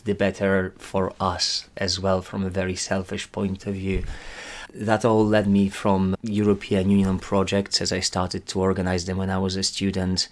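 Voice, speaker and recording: Guest voice: man with a Polish accent, low-pitched, even delivery, earnest and measured. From Poland. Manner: measured, earnest, slightly formal phrasing. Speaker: guest, climate recruitment director. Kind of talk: interview answers.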